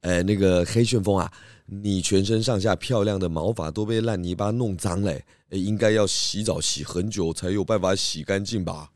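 A man with a Taiwanese accent voicing a clever, calm pig detective in a children's audio drama, patiently explaining clues. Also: low voice, normal speed, dry recording, studio quality, close to an everyday voice. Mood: calm probing.

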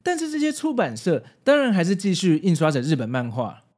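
A man in his forties speaks Mandarin with a Taiwanese accent, narrating like a video essayist. His voice sits a little high and swings widely in pitch. He explains things step by step, with nostalgic, casual asides. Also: huge pitch swings, fairly quick, a little mellow. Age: 40s. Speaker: host.

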